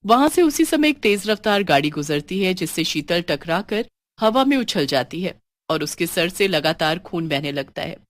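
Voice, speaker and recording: A woman's voice: on the low side, very animated, normal speed, dry recording, clean studio sound. A woman storyteller giving scripted, dramatic narration of a horror tale.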